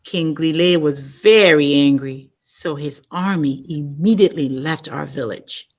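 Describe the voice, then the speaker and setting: A woman reading a story aloud at story time, slow and careful. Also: low-pitched, a little mellow. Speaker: storytime reader. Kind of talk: reading a picture book aloud.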